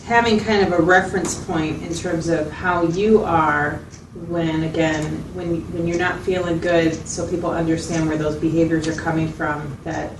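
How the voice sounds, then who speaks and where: A woman's voice: low voice, level tone, moderate pace, hardly any pauses, close to an everyday voice. A woman playing a supportive mental health clinician, explaining and reflecting back in a conversational clinical interview. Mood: supportive, explanatory. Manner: reflective, explanatory, checking in with the listener. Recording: distant mic, roomy echo, loud background noise.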